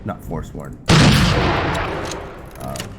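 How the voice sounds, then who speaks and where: A man giving casual game commentary, musing and halting as he thinks aloud to himself. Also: extremely low pitch, creaky, loud music behind the voice. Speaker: gameplay host narrating a roleplay playthrough.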